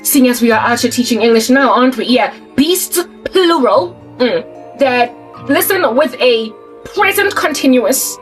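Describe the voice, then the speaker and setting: A woman's voice: a little high, expressive, measured pace, soft background music. A South African woman in her forties, talking casually to camera in an emphatic, colloquial rant that repeats and restarts words. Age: 40s. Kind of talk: casual ranting monologue to camera.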